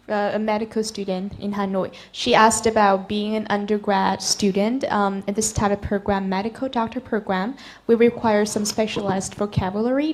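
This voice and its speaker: A woman moderating a webinar Q&A, politely reading out questions on a video call. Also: medium pitch. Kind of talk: hosting Q&A.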